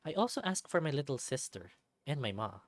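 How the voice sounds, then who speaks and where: A woman's voice: extremely low pitch, sing-song, fairly quick, no echo. A woman reading a visual novel aloud as a let's-play narrator, with casual asides. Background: music.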